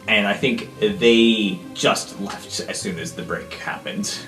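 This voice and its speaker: A man's voice: on the low side, slow. A man speaking casually as a player in an improvised tabletop roleplaying game. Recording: roomy echo under music.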